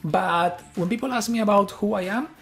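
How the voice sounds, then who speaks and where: A middle-aged man from Argentina with an Argentine Spanish accent, a native Spanish speaker, giving an earnest presentation talk in English with frequent halting restarts. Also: high voice, gravelly, a bit bright. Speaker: conference speaker.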